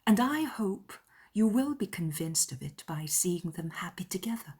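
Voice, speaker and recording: A woman voicing a gentle young woman in a dramatized period novel, speaking softly and earnestly with a breathy, entreating manner. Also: fairly low pitch, sing-song, normal speed, exaggerated voice. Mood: gentle persuading. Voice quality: breathy, hushed. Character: gentle, soft-spoken young woman pleading for charity toward others.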